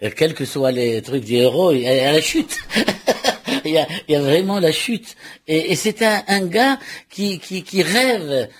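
Husky, halting male novelist. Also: on the high side, lively intonation. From Morocco. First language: Arabic and French. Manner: halting, repeats words, laughs often. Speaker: novelist guest. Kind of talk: radio interview.

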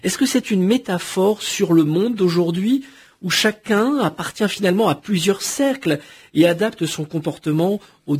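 A man hosting a radio book interview, asking formal, measured questions. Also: on the high side, a little mellow, breathy edge. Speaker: radio host.

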